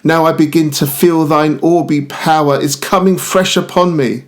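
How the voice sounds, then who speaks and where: A man's voice: a little high, fairly even intonation, moderate pace, lightly acted. A man voicing a lovestruck young man who rapturously addresses the moon in declaimed verse. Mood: yearning, pleading. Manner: ardent, declaimed. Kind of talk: poetic monologue in verse recital.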